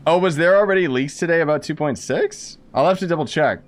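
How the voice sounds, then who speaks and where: A man's voice: fairly high pitch, fast talker. Casual young man streaming a game. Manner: offhand, slangy, chatting to viewers. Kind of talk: casual live gameplay commentary.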